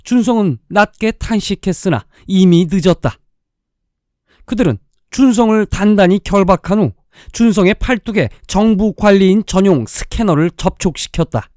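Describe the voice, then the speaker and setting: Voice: mid-range voice, animated, normal speed, mellow, dry recording, clean studio sound. A storyteller reading a dramatic science-fiction tale in a scripted narrating style. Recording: music under the voice. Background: background music.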